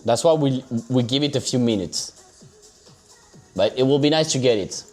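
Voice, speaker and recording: A man's voice: medium pitch, lively intonation, normal speed, a little mellow, faint music behind. A man with a French accent giving casual live trading commentary on a stream, loose and chatty, often saying "so basically" and checking in with "okay?". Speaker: livestream crypto trader.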